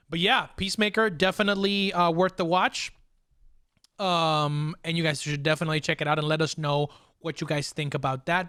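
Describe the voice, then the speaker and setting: A man's voice: fairly high pitch, pushed and bright, dry recording, studio quality. A young man hosting a casual pop culture discussion, talking enthusiastically in informal, looping, hesitant phrases. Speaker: podcast host.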